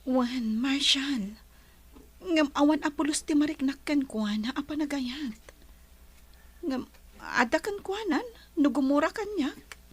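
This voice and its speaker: A woman's voice: sits high, animated, takes it slowly, pauses often. A woman with an Ilocano accent playing an earnest young sweetheart and resort madam in a radio drama. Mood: tender, earnest.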